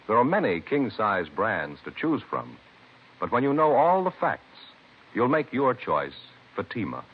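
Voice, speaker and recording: A man reading a scripted 1950s radio commercial as announcer, with deliberate sales emphasis. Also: medium pitch, sing-song, husky. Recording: muffled vintage radio recording.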